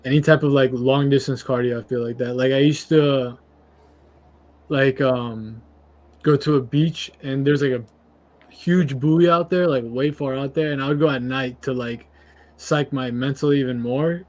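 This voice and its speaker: A young man with a medium-pitched voice hosts a casual podcast. He talks in an informal, slangy way and leans on his points with emphasis. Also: steady tone, normal speed, a little mellow. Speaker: podcast host. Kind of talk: casual chat.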